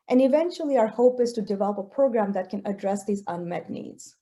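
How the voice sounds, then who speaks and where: Earnest woman researcher, mellow voice. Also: mid-range voice. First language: Gujarati. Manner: earnest, personal, with occasional fillers. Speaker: researcher giving a talk. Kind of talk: presentation talk with slides.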